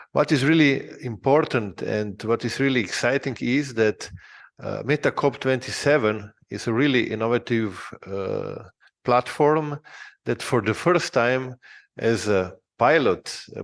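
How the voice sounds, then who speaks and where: A man walks listeners through a software demo, halting often on fillers. He speaks very slowly, and his voice has a gravelly fry. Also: medium pitch. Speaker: presenter. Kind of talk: recorded product walkthrough.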